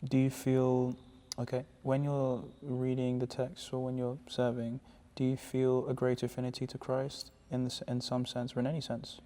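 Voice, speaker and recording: A man with a flat, mellow voice who is agreeable and often affirms the other speakers. There is a long hall echo around him. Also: medium pitch, normal speed, few pauses. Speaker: panel member. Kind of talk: casual panel discussion.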